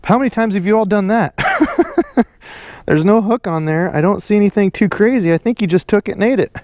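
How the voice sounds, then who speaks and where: A man's voice: sits high, big pitch swings, moderate pace, a little mellow, no echo. Young male fishing vlogger, chatty and folksy, outdoors, head-cam, dry. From Iowa, USA. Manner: chatty, upbeat, folksy sayings. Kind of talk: casual narration while fishing. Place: outdoors on a pond bank. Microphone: head-mounted action camera.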